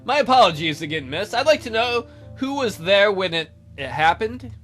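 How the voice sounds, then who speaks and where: A man playing a polite, awkward detective who narrates his murder case in a measured way for a visual novel game. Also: high voice, big pitch swings, fairly bright tone, faint music behind, full character voice. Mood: inquisitive.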